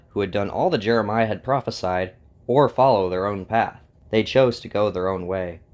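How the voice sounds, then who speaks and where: A man hosting and narrating a scripted dramatized story. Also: medium pitch. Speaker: narrator and host.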